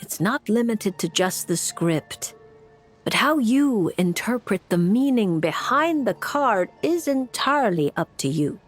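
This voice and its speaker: Enigmatic, slow-speaking mage lady. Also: mid-range voice, big pitch swings. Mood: cryptic, calm. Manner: theatrical, mysterious, deliberate. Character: enigmatic, composed noblewoman mage reading fortunes. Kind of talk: video game voice acting. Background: music.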